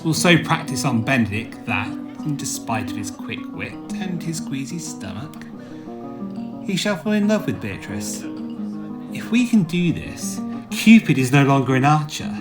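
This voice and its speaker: A man acting a playful, matchmaking prince in a Shakespearean radio play, with a theatrical, declaiming manner. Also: medium pitch. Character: playful matchmaking prince, scheming with mischievous confidence. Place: home recording.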